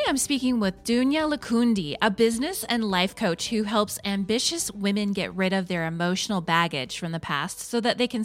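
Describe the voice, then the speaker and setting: A woman's voice: mid-range voice, a bit bright, no echo. Upbeat woman podcast host. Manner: upbeat, polished promo delivery. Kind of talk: scripted intro then casual interview. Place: mountain region home.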